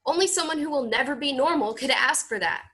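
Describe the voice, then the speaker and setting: An actress in a play reading, playing a scornful teenage daughter with a blunt, accusing manner. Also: female voice, high voice, expressive, a little fast, bright, forceful tone.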